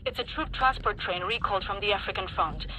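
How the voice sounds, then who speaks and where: A woman's voice: medium pitch, a little fast, bright, forceful tone, slight background noise, natural voice, low-quality recording. A woman playing an earnest, caring resistance intelligence officer in a video game, briefing carefully. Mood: urgent, serious. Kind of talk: video game character acting.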